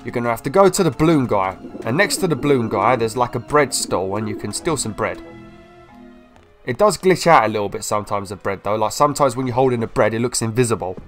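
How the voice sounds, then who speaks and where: A young man with a slight cold, casually commentating a video game walkthrough in an excitable, chatty way. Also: mid-range voice, fast talker, soft background music. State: slightly ill, sniffly. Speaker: gameplay video host. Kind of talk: casual let's-play commentary.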